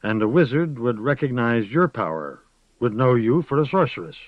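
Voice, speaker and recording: A man's voice: medium pitch, expressive, normal speed, no room sound, voice pushed up, close to an everyday voice. A man reading an audiobook, voicing a composed woman who asks cautious, curious questions. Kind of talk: audiobook character voice. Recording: dull, dry lo-fi recording.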